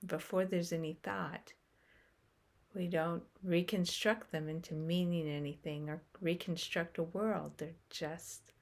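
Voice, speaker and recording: A woman leads a guided meditation in a low, gravelly voice. She speaks very slowly and softly and leaves long pauses between short phrases. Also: steady tone.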